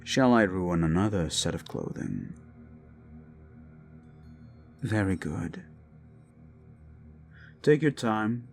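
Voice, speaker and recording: A man voicing an unhinged, possessive young prince who speaks with soft-spoken menace and slips into whispers, over faint dark music. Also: low-pitched, expressive, takes it slowly, gravelly, long pauses, full character voice. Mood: commanding. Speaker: voice actor. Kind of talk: audio roleplay drama.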